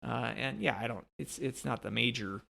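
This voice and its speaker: A man's voice: medium pitch, moderate pace, creaky, bright, forceful tone. A man co-hosting a sports podcast, talking casually about hockey in a hesitant, meandering way. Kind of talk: casual hockey commentary chat.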